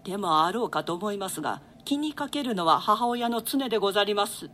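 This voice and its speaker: Elderly royal grandmother played by a woman: forceful, bright tone, archaic theatrical diction, dignified and world-weary. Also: medium pitch, expressive, a little fast, slight background noise, lightly acted. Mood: doting, curious. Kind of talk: classical stage play reading.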